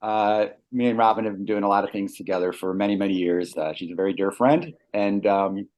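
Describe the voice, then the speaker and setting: A man from the Chicago area with a lowish voice introduces himself on a video call. He jokes easily and chuckles at his own asides. His tone stays relaxed and friendly. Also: a little fast. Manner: jokey, laughs at his own lines. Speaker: participant on a group call. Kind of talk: casual self-introduction.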